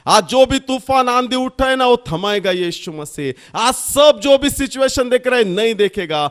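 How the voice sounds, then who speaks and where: A man's voice: very high-pitched, lively intonation, moderate pace, smooth. A man preaching an impassioned sermon, in a repetitive, sing-song cadence that swells and drops.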